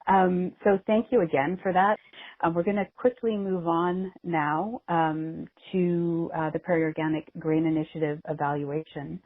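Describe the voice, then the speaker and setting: A woman's voice: lowish voice, level tone, normal speed, no room sound. A woman moderating a business conference call, talking informally and thinking aloud as she goes. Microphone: telephone. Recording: muffled narrowband teleconference phone line.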